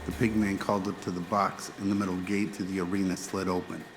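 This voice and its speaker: A man's voice: low-pitched, level tone, quick pace, background noise. A man reading scripted narration for a radio-style audio drama.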